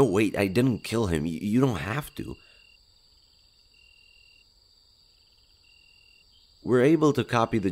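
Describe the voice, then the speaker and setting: A man's voice: deep voice, darkish tone. A man playing a shy, nervous, friendly skinwalker in human disguise in a roleplay audio drama, hesitant and stammering. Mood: awkward, explaining.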